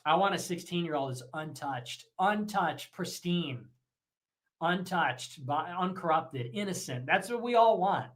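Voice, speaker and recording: A man with a fairly high, bright and sharp voice delivers a brash, mocking rant. He talks in quick bursts and comes across as provocative. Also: animated. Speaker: online streamer in a clip.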